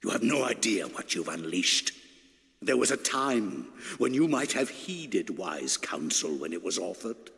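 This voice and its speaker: A man's voice: on the low side, big pitch swings, a little slow, vocal fry, a bit bright, in a large hall, full character voice. An actor playing an arrogant old male sorcerer in a video game, with a sneering, theatrical, condescending manner. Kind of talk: dramatic video game acting.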